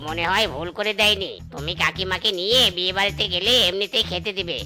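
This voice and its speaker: A woman's voice: on the low side, fast, over music, lightly acted. A woman voicing a cheeky, gossipy animal vegetable seller in a comic cartoon, chatty and teasing.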